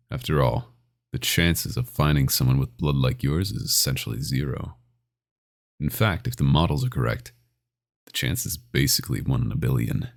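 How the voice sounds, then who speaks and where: A man playing a possessive, domineering vampire doctor in a scripted ASMR roleplay, speaking in an intimate, condescending and menacing way. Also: heavily acted. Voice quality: very deep, gravelly, often breathy.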